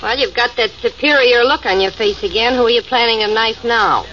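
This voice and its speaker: A woman plays a cynical gangster's moll who answers everything with a sardonic quip. Her voice is high and bright, and the old broadcast sound is dull with faint hiss. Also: a little fast, close to an everyday voice. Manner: wisecracking, sardonic. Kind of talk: 1940s radio acting.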